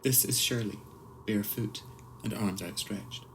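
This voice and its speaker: A man narrating a scripted surreal radio play in a formal, measured storytelling manner. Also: lowish voice, steady tone, slight background noise, low-quality recording. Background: music score.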